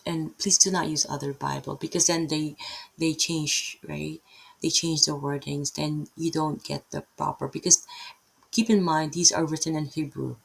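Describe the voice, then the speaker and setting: A woman's voice: sits low, steady tone, moderate pace, creaky, husky, poor audio. A middle-aged woman with a Filipino accent giving a casual, rambling Bible lesson, often checking in with "right?" and breaking off mid-thought. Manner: rambling, self-interrupting, tags many lines with "right?". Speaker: Bible teacher. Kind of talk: casual unscripted Bible teaching.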